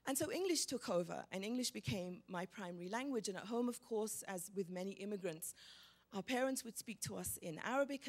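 A middle-aged woman from Egypt speaks to a live audience in a big hall. She talks into a handheld microphone with an animated, expressive storytelling manner and a pitch sitting in the middle of the range. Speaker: panelist telling a personal story. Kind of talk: storytelling on stage.

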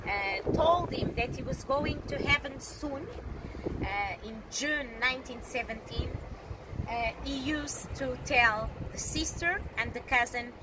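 A woman, guiding a tour outdoors by a church and speaking haltingly with many pauses. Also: high-pitched. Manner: halting, with frequent pauses and fillers. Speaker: tour guide. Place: outdoors in a sunny church square. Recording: distant voice, loud wind noise outdoors.

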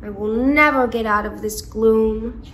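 A woman's voice: a little high, steady tone, measured pace, a bit bright, slight background noise. A woman voicing a friendly but timid, mournful boy ghost from a storybook in a dramatic, plaintive way. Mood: glum.

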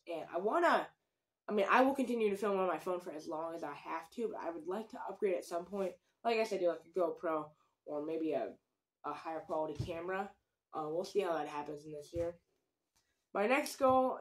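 A young man chatting casually to a phone camera in a small indoor room, earnest and rambling, with lots of ums and likes. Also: a little high. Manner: earnest, rambling, many fillers. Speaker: fishing vlogger. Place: small wooden room with many windows, indoors. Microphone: phone camera at desk distance.

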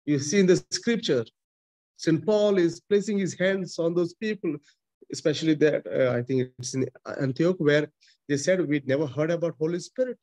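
Emphatic Indian priest preaching. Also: male voice, on the high side, moderate pace, darkish tone. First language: not English. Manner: emphatic preaching, frequent repetition and restarts, gestures while talking. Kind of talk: sermon over video call. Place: small chapel indoors. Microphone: webcam close up.

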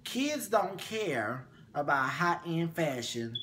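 A young man co-hosting a casual chat show, sassy and animated, his voice swinging up high, recorded in a small bedroom. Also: takes it slowly, a crowd in the background. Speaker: co-host.

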